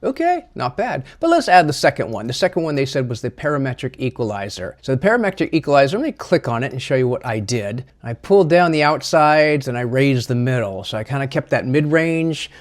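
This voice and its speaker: A man presenting a software tutorial in a casual, chatty, slightly self-deprecating way. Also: medium pitch, very animated, brisk, few pauses.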